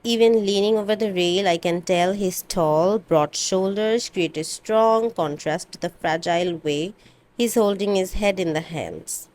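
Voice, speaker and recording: A woman reading a first-person novel aloud in an expressive, dramatic amateur audiobook style. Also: mid-range voice, a little slow. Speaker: amateur book reader.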